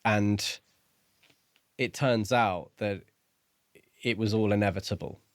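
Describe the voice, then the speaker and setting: A man's voice: fairly low pitch, slow, no echo, studio quality. A man co-hosting a podcast, discussing a book in a casual, reflective and slightly tentative way. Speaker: podcast co-host.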